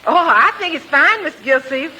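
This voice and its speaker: A woman's voice: high voice, expressive, normal speed, sharp tone, heavily acted. A woman playing a deferential, good-natured household cook in a 1940s radio comedy, folksy and hesitant. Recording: faintly noisy old 1940s radio recording.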